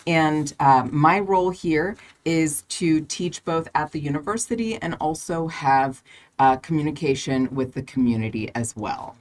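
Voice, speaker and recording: Very low-voiced American woman teacher. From United States. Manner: friendly, laughs often, halting with many fillers. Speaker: guest English teacher. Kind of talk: podcast interview answers.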